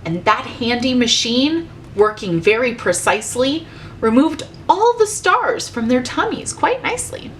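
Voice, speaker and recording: A woman teacher reading a rhyming storybook aloud to children in a measured, rhythmic way. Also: high voice, animated, a little hiss or hum.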